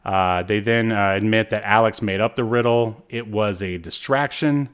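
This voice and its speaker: A man's voice: fairly low pitch, normal speed, fairly bright tone, smooth. A man co-hosting a podcast, casually recapping in a hesitant, rambling way. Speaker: podcast co-host. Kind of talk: casual chat recapping a TV episode.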